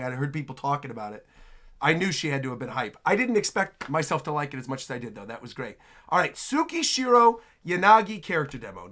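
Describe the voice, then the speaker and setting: A woman hosting casual, animated reaction commentary on game trailers. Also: deep voice.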